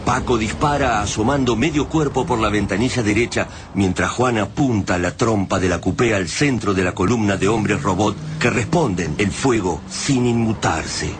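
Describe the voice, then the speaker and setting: A man's voice: lowish voice, normal speed, hardly any pauses, background noise. A man narrating a radio drama in a dramatic, scripted style.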